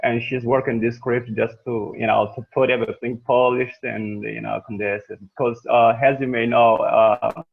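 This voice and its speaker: A man's voice: medium pitch, little pitch movement, normal speed, vocal fry, hardly any pauses, husky. A man from Mozambique who is not a native English speaker eagerly introduces himself on a video call, repeating his phrases. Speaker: new meeting participant. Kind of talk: casual self-introduction. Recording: tinny phone-like call audio.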